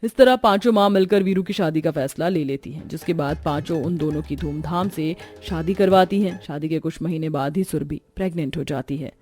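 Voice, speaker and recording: A woman's voice: low-pitched, fairly quick, hardly any pauses, darkish tone, soft background music. A woman reading scripted narration for a family moral story.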